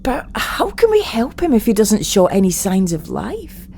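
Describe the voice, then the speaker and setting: A woman's voice: medium pitch, wildly swooping pitch, normal speed, darkish tone, slight background noise. A woman acting an anxious, caring medic in an audio drama, with a worried, questioning delivery.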